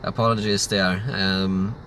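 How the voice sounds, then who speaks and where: Lowish, bright-toned man. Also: flat delivery, a little slow, slight background noise. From Sweden. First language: Swedish. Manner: loose, rambling and offhand. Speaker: livestream host. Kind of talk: livestream Q&A reading chat questions.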